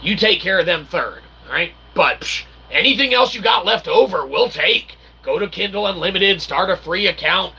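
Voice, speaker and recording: A man's voice: sits high, expressive, moderate pace, gravelly, hardly any pauses, bright, forceful tone, slightly rough. A man giving a rambling informal monologue in mock-formal, wordy phrasing, often checking in with a short questioning tag. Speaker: channel host and self-published author.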